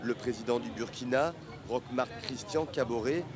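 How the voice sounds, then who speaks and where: A man reading a scripted news report as a voice-over in a measured way. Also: medium pitch, background noise. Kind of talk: scripted narration.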